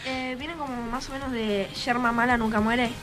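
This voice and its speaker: A woman's voice: on the high side, steady tone, normal speed, over music. A teenage female student, answering hesitantly and nervously. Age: teens. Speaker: student guest.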